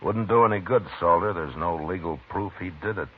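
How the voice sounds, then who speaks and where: An actor playing a calm, stern Old West marshal in 1950s radio western style, clipped and unruffled. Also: male voice, low voice, a little fast, breathy edge. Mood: firm. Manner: clipped, laconic, unruffled questioning. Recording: muffled, noisy 1950s radio recording.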